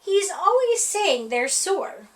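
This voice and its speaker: A woman reading a children's picture book aloud for a library storytime, with a playful, expressive delivery. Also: very high-pitched, takes it slowly. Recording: voice heard from a distance off the mic.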